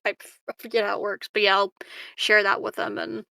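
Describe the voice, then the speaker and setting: A woman's voice: mid-range voice, flat delivery, a little fast, vocal fry, bright, forceful tone, no room sound. A woman chatting casually as a player in a tabletop roleplaying game, partly in character.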